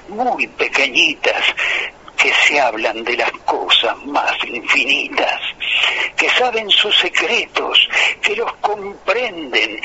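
A man reads poems over a telephone line that sounds thin and tinny, with a faint hiss behind him. His delivery is unhurried and earnest, and it lingers on each line. His voice is bright and somewhat higher than usual. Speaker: radio caller reciting poetry. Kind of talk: slow, emotive poetry recitation.

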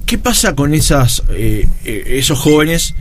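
A man's voice: fairly high pitch, sing-song, a little slow, slight background noise. A man in his forties with a Uruguayan accent, putting questions as an interviewer.

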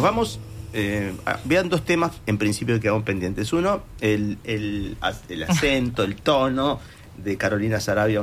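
A man hosting a casual radio interview, talking in long rambling runs with fillers. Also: fairly low pitch. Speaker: radio host. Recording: faint background noise.

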